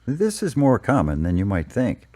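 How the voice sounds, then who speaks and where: An actor plays a patient priest with a dark, mellow, lowish voice. He counsels in measured, explanatory sentences, in audio drama style. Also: huge pitch swings. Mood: patient counseling. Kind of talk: amateur audio drama dialogue.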